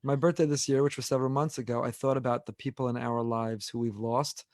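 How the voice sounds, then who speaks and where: A man's voice: medium pitch, steady tone, quick pace, a little mellow, dry recording, clean studio sound. A man in his forties, a rabbi hosting an unscripted podcast discussion, talking earnestly and repeating words as he builds his point. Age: 40s.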